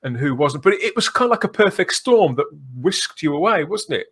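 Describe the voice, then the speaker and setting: A man in his forties with a British accent hosts a casual podcast chat. His voice sits a little high and moves up and down a lot. His manner is playful and jokey. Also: expressive, fairly quick, fairly bright tone, husky. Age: 40s. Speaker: podcast host.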